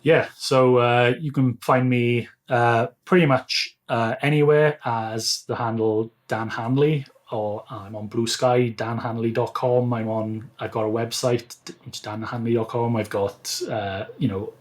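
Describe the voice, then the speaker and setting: A man's voice: mid-range voice, fairly even intonation, unhurried, few pauses. A man speaking as an author guest, giving casual, conversational interview answers. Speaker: podcast guest, self-published author.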